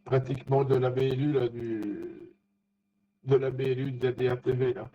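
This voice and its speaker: A man, an amateur radio operator, chatting casually in a halting, repetitive way. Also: medium pitch, flat delivery. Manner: halting, repeats words. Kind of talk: casual ham radio chat. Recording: dull lo-fi radio link.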